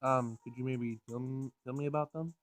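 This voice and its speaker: A man's voice: mid-range voice, fairly even intonation, normal speed, no room sound, soft background music. A man reading a visual novel aloud as he plays, sometimes stumbling over words and rereading lines. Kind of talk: Let's Play read-aloud of game text.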